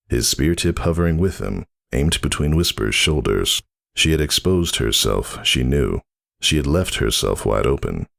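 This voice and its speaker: A man's voice: very deep voice, vocal fry. A man narrating a story as a dramatic reading, solemn and theatrical. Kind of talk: dramatic scripted fan fiction narration.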